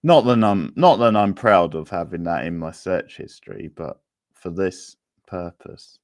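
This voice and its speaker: A man with a deep voice hosts an informal quiz. He takes his time, rambling casually and thinking out loud. The sound is dry, with very little room to it. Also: measured pace, no room sound. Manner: chatty, rambling, thinking aloud. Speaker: quiz host. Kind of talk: casual live quiz hosting.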